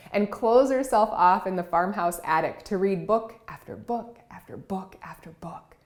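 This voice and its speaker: A woman who grew up in Cameroon and Iowa, giving a personal storytelling speech as a keynote speaker. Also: mid-range voice. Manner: warm storytelling, vivid and expressive.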